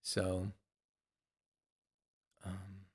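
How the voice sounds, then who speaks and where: A man's voice: low-pitched, completely flat delivery, very slow, breathy edge. A man in his sixties talks to viewers in a loose, rambling monologue, repeating phrases and swearing casually. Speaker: vlogger.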